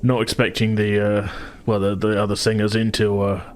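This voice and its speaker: A man's voice: on the low side, little pitch movement, normal speed, lightly acted. A man playing an anxious, deferential dwarf who manages an opera house, stammering and fretful. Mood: flustered.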